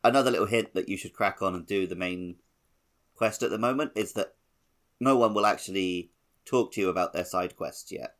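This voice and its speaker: A man's voice: sits low, brisk. A man co-hosting a podcast, casually talking through a video game. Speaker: podcast host.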